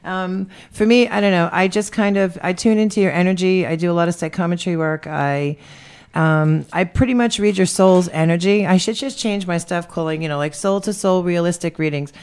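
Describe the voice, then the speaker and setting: A woman from New with a deep voice, a spiritual medium who talks frankly and to the point. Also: moderate pace, a little mellow, clear, resonant tone. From New York. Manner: straight-talking, frank. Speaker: spiritual medium, radio guest.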